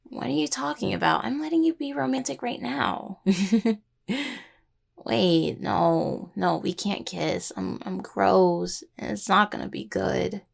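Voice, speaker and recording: A woman playing a grieving, tender young necromancer in an intimate audio roleplay, speaking haltingly and apologetically to a partner. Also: medium pitch, creaky, exaggerated voice. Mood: teasing, affectionate.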